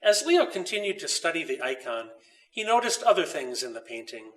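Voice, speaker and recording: A man reading his own children's story aloud with expressive, dramatic narration. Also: a little high, fairly quick, recorded from a distance, low-quality recording.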